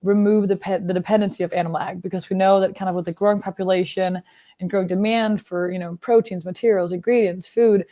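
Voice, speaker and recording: A young woman from Denmark, a biotech startup founder, answering interview questions in a relaxed, explanatory way, full of fillers. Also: mid-range voice, fast. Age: young adult.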